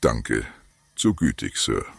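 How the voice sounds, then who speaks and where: A man playing a stiff, haughty butler in a comedy radio play, speaking formally and with dry defiance in a gravelly, husky voice. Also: a bass voice, big pitch swings, slow, no room sound, full character voice. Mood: formally polite.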